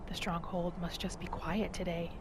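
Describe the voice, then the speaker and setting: A woman calmly narrating a fantasy game character's day in a soft, hushed ASMR storytelling style, slipping into whispers at times. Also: lowish voice, background noise. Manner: calm, slow, hushed storytelling with some whispering. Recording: music playing under the voice.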